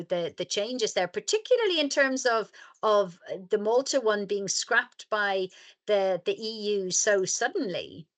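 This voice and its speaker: A woman hosting a webinar, moderating in a polite, measured way. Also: mid-range voice, a bit bright. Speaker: webinar host.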